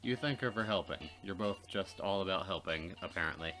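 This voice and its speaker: A man's voice: deep voice, fairly quick, bright, forceful tone, faint music behind. A man reading visual novel narration aloud for a let's play, with casual asides.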